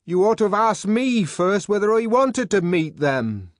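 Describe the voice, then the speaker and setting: A man's voice: high-pitched, very animated, unhurried, no echo, clean studio sound, pitched above the performer's own voice. A man voicing an exasperated young man who bawls refusals from behind a locked door, in an audiobook. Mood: furious, refusing. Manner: muffled, bawling, fiercely refusing. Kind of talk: audiobook character voice.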